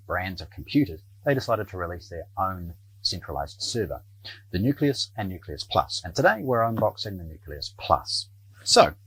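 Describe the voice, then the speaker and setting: A middle-aged New man presents a product in a measured, explanatory manner. His voice sits fairly low and is noticeably husky, with animated pitch movement. He speaks off the cuff, as a shop presenter would. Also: no room sound, low-quality recording. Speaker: presenter of an audio shop's product videos. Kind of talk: unscripted product unboxing commentary. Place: small indoor room lined with shelves.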